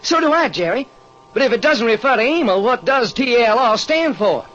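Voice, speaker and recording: A man voicing a brisk, plain-spoken police lieutenant in an old radio detective drama. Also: extremely high pitch, animated, a bit bright, voice pushed up. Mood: businesslike. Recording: muffled, noisy old radio recording.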